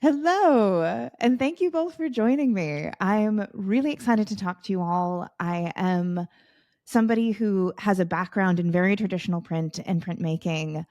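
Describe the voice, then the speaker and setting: Female podcast host, welcoming, mid-pitch, dry recording. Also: normal speed, a little mellow, no echo. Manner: upbeat, welcoming, articulate. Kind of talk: podcast interview hosting.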